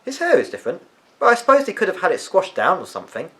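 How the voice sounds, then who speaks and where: A man's voice: high-pitched, wildly swooping pitch, fast talker, pushed and bright, voice pushed up, exaggerated voice. A man voicing a curious, hesitant young schoolboy in a storybook, questioning and tentative. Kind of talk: storybook character voice.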